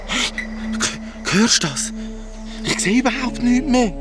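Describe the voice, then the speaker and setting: An actor playing a frightened, excitable child adventurer in a Swiss German radio play, exclaiming in a breathless way. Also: medium pitch, measured pace, close to an everyday voice. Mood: panicked. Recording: music behind the voice.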